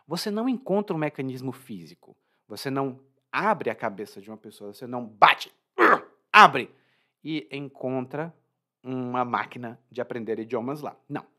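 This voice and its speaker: Brazilian guy explaining vocab, animated up and down voice, clear teacher-y pace. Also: medium pitch. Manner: slow, clear teaching delivery with rhetorical questions. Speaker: language teacher.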